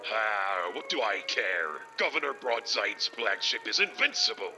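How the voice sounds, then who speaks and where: Blustering pirate captain, swooping and gravelly. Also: male voice, on the low side, big pitch swings, measured pace, over music. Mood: dismissive. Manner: theatrical, boastful. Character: blustering, greedy male pirate captain with a peg leg. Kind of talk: audio drama acting.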